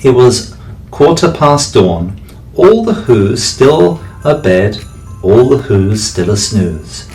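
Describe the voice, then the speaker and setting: A man, a teacher, reading a rhyming bedtime story aloud with expressive delivery. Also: mid-range voice, slow. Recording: distant mic, faint background music.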